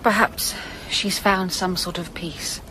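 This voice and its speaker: A woman's voice: on the low side, steady tone, slow pace, noisy background. A woman acting in a radio drama as a grave, determined young woman, earnest and probing as she speaks. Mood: quiet, resigned. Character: grave, determined young woman uncovering her lost love's murder.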